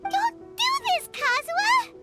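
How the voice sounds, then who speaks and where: A woman voicing a tiny, anxious, excitable fairy companion in a video game, with a squeaky, pleading delivery. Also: slow, exaggerated voice. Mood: alarmed, pleading. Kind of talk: animated video game voice acting. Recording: loud music behind the voice.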